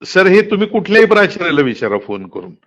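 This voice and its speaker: A man's voice: sits high, sing-song, fast talker, a bit bright, highs cut off. A middle-aged man explaining things emphatically and asking rhetorical questions in a candid political phone conversation, recorded off the call. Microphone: telephone.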